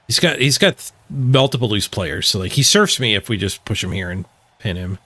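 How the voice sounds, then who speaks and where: A man's voice: on the low side, animated, a little fast, pushed and bright, no echo. A man streaming a video game, giving casual, playful live commentary as he thinks his moves through aloud.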